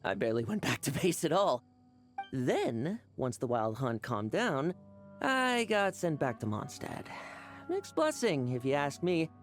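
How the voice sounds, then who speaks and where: A woman's voice: sits low, big pitch swings, measured pace, faint music behind. A woman voicing a brash, upbeat young male knight and wanderer in an anime video game. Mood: casual, brash.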